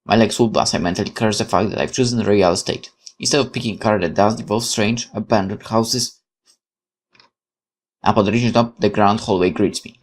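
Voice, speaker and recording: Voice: mid-range voice, moderate pace. A gamer doing let's play commentary, reading game text aloud expressively and questioning it. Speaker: gaming YouTuber.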